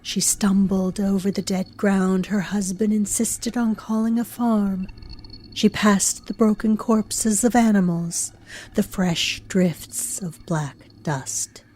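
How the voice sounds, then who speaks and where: A woman narrating a horror audio drama in a solemn, hushed, deliberate and dramatic storytelling manner. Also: mid-range voice.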